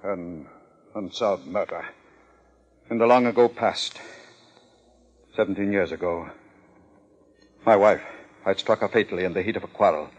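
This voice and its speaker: A man's voice: low-pitched, moderate pace, long pauses, highs cut off. An actor playing a pompous contest sponsor with murder in his past, in formal 1950s radio drama diction. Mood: resigned, confessing.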